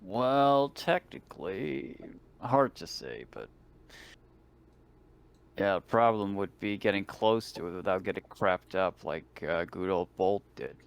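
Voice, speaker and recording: A man's voice: low voice, animated, measured pace, smooth. A man playing a tabletop game, musing aloud in casual, colloquial and sometimes sweary talk.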